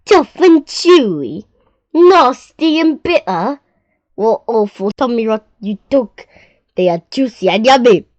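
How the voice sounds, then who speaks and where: Lady doing a big greedy croc, slow gloaty storybook voice, kinda muffled audio. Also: on the high side, a little mellow, dry recording, close to an everyday voice. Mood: indignant. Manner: gloating, drawn-out menacing delivery. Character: greedy boastful male enormous crocodile. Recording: muffled lo-fi recording.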